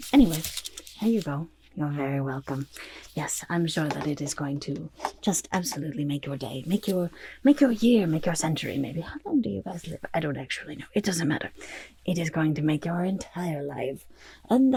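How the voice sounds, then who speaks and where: A woman voicing an eccentric, self-satisfied goblin artist in an ASMR roleplay, chatty and boastful, with a creaky voice that often drops into whispers. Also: deep voice, darkish tone, exaggerated voice. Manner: chatty, boastful, third-person self-talk, halting murmurs. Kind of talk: ASMR roleplay, personal attention.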